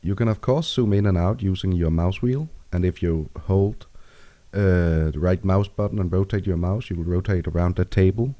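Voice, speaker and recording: A man from Denmark with a Danish accent, calmly explaining a software tutorial step by step. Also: low voice, normal speed. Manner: calm, steady step-by-step explaining. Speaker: tutorial maker. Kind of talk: screen-recorded software tutorial.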